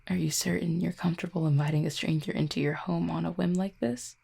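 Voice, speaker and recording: A woman playing an injured, proud and guarded cupid in an intimate audio roleplay, speaking formally and wryly in a husky, pained voice with weary sighs. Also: fairly low pitch, steady tone, warm tone, heavily acted. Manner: formal, wry wording with weary sighs and hesitant pauses. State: in pain, strained.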